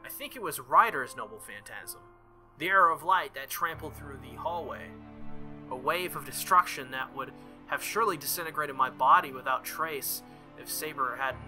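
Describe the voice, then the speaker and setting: A man's voice: a little high, sharp tone. A man voicing an earnest, hesitant teenage boy hero in a visual novel.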